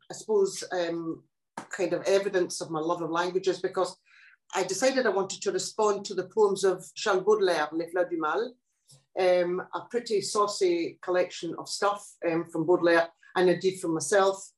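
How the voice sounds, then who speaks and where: An older woman, a poet, talking about her life in a relaxed interview, thoughtful and pausing often. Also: on the low side. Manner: reflective, thoughtful, with many pauses and restarts. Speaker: guest poet. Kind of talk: casual interview chat. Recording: echoey room.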